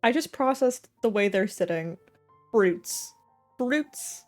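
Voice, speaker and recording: A woman's voice: mid-range voice, animated, slow, faint music behind. A woman livestreamer giving casual, joking, slangy reactions as she plays a game. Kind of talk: casual game playthrough commentary.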